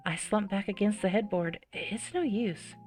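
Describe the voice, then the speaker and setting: Expressive woman reading a game aloud, music behind. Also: medium pitch, normal speed. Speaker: gamer playing and reading a visual novel aloud. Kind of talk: dramatic read-aloud with casual reactions.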